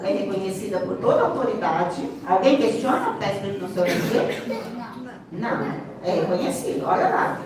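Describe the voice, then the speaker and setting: A woman's voice: mid-range voice, darkish tone. A Brazilian woman giving an interactive lecture in Brazilian Portuguese, teaching emphatically with rhetorical questions and repeated key points. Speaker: seminar speaker, sign language teacher and interpreter. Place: large echoey room, far from the mic. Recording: echoey hall, distant microphone, clear background noise.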